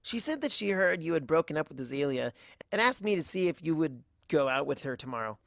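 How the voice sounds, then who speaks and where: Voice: mid-range voice, sing-song, fairly quick, vocal fry, a bit bright, dry recording, clean studio sound. A narrator voicing a casual, blunt young female pony in a fan story. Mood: matter-of-fact.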